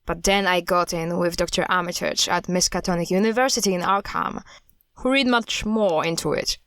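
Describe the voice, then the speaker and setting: A woman's voice: on the low side, moderate pace, fairly bright tone, no room sound. A woman playing a blunt, guarded cult hunter in an audio drama, speaking in a terse, matter-of-fact way. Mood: blunt, dismissive.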